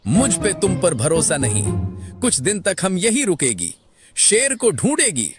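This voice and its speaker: A man's voice: high voice, huge pitch swings, a little slow, over music, heavily acted. A man voicing a proud, cagey foreign camel sheikh in a cartoon, speaking exclamatory broken Hindi with muddled grammar. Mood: indignant, doubtful. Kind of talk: animated moral-story character acting.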